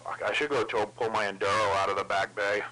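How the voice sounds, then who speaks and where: A man's voice: fairly low pitch, steady tone, fairly quick. A man in a casual CB radio conversation, rambling along and swearing heavily. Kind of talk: casual chat over CB radio. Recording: crackly CB radio with clicks.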